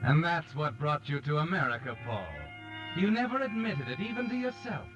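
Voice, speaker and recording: A man's voice: fairly high pitch, expressive, moderate pace, close to an everyday voice. An actor playing a sinister, all-knowing mystery narrator, addressing the guilty man in taunting 1940s radio style. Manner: taunting, dramatic second-person storytelling. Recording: dull 1940s radio recording. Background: dramatic music.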